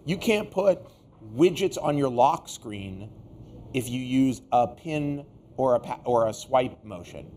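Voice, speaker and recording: A man's voice: medium pitch, expressive, slow, a little mellow, slight background noise. A man hosting a casual, unscripted tech discussion, rambling and exasperated, tired and getting sick. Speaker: tech show host.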